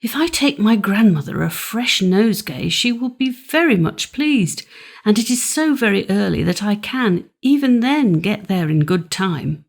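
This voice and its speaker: A woman's voice: medium pitch, animated, measured pace, vocal fry. A woman voicing an innocent, polite little girl in a storybook, sweet and full of naive wonder. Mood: eager.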